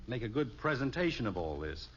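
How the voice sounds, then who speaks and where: A man's voice: medium pitch, sing-song, a little fast, lightly acted. An actor playing a nervous, stammering middle-aged father in an old radio comedy, over-explaining himself. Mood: flustered. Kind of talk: 1950s radio comedy acting. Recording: dull old radio recording, faint hiss.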